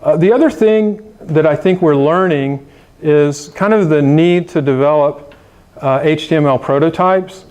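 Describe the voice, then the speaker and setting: Emphatic higher-pitched man on stage. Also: unhurried, darkish tone, clear, resonant tone. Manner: emphatic, exhortative, with fillers. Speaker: conference speaker. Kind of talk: conference presentation. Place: conference room.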